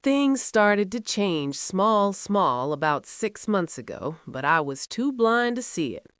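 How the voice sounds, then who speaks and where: A woman with a Nigerian accent narrating a scripted first-person folktale in a dramatic, expressive way. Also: mid-range voice, slow pace, clean tone, no room sound, studio quality. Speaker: storyteller.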